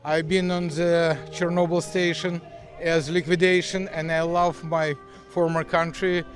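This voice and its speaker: A man's voice: on the high side, level tone, measured pace, faint music behind. Angry older man. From Ukraine. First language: Russian. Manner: angry, emphatic, forceful. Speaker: interviewee. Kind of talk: street interview. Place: outdoors in a park.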